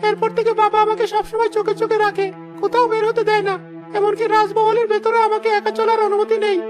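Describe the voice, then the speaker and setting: A woman voicing a gentle, sheltered young royal child in a fairy-tale cartoon. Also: very high-pitched, flat delivery, fast. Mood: sad, confiding. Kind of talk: animated fairy-tale voice acting. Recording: music behind the voice. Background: background music.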